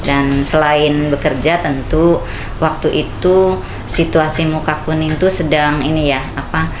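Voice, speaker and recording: A woman in her forties from Central Java with a Sundanese-tinged accent, answering interview questions in a measured, polite way. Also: sits low, fairly even intonation. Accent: Sundanese-tinged Indonesian. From Central Java, Indonesia. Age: 40s. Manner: measured, polite, with soft fillers and religious greetings. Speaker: political candidate guest. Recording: echoey room with clear background noise.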